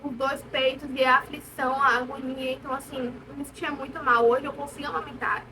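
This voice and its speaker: A young Brazilian woman with a high voice speaks casually and candidly, as a vlogger sharing her own experience. She leans on repetition for emphasis. The recording sounds distant from the mic, with clear room noise. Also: steady tone, brisk, bright, forceful tone. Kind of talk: casual personal storytelling to camera.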